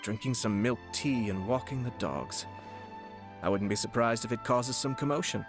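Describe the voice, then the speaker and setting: An actor playing a refined young nobleman in a video game, courteous, composed and slyly teasing, with formal, measured speech. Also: mid-range voice, natural voice. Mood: amused, courteous. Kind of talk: scripted game character voice lines. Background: background music.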